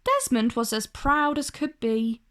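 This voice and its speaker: A woman storyteller reading a picture-book tale aloud for children in an expressive narrating style. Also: on the high side, a little slow.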